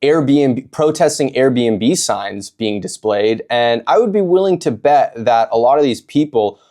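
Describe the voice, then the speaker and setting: A man's voice: medium pitch, lively intonation, measured pace, warm tone. Casual opinionated male commentator. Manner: casual, opinionated, frequent fillers and restarts. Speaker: video host reacting to own protest footage. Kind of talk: reaction commentary.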